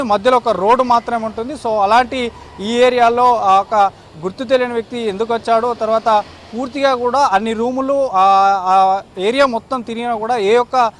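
A male field reporter delivers a live, unscripted report. He is halting and repetitive, dropping in English words, with faint city traffic noise around him. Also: extremely high pitch.

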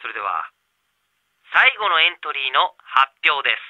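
An actor playing a punchy radio contest announcer in a radio drama. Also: male voice, mid-range voice, big pitch swings, moderate pace, no room sound. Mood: announcing. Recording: muffled radio broadcast sound.

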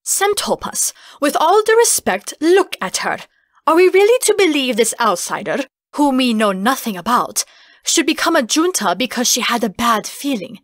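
Indignant, high-pitched council member, voiced by a woman. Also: very animated, moderate pace, no echo, clean studio sound. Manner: incredulous, haughty. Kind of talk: fantasy audiobook character acting.

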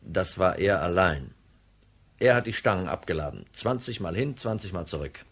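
An actor playing a cool, shrewd insurance detective in a radio crime drama, matter-of-fact and in control. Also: male voice, deep voice, expressive, normal speed, fairly bright tone, exaggerated voice. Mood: calm, explaining.

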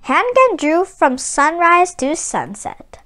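A woman reading a children's picture book aloud as host, with deliberate, sing-song storytelling phrasing. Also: extremely high pitch, very animated, sharp tone.

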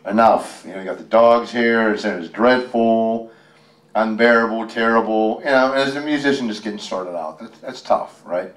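American guy reading a kids book out loud, lowish voice, chatty n jokey, echoey room. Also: normal speed. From United States, Western Pennsylvania. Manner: joking, folksy, chatty. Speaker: entertainer reading a picture book aloud to a library audience. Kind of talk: casual storytime read-aloud with ad-libbed asides. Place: library room, live event. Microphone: handheld microphone held by a helper. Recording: roomy, distant from the microphone.

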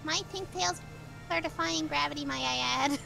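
A woman's voice: high-pitched, moderate pace, creaky. A woman with a morbidly playful manner, casually joking and dubbing a video game with friends. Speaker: player voicing children and side characters in a group game dub. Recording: loud game music behind the voice. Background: loud video game music.

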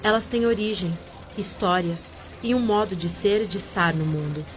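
An actress playing a brooding, free-spirited Amazonian sorceress, narrating an audio drama in a measured, literary manner. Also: female voice, medium pitch, natural voice. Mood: solemn, mysterious.

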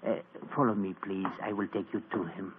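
An actor playing an old, faithful German manservant with a German accent, speaking formally and solemnly on a tinny old radio broadcast. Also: male voice, low voice, steady tone, fairly quick, background noise, lightly acted.

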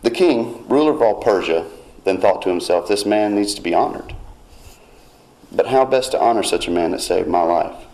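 A man with a very low voice preaches in church. His tone is gravelly, and he speaks in a measured, steady way. When he reads scripture, his emphasis rises. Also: rough recording. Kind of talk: sermon retelling scripture.

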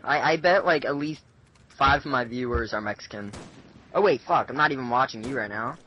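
A man's voice: medium pitch, fairly quick, highs cut off, a little hiss or hum. A young man giving casual, crude game commentary into a headset mic, teasing his friends and laughing often. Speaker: gameplay commentator.

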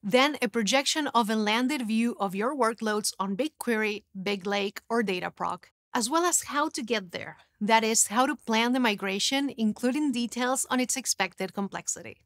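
A woman in her thirties presenting a scripted technical explainer in a studio, in a clear, even, instructive way. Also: on the high side, normal speed, hardly any pauses, no room sound. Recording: dry studio sound.

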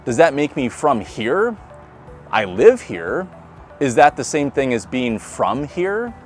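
A man's voice: medium pitch, very animated, takes it slowly, faint music behind. A man in his forties with a Midwestern American accent, a pastor giving an online sermon to camera in a conversational way. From Nebraska. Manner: conversational, chuckles, repeats words when restarting. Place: outdoors beside a brick building. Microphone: camera at close range.

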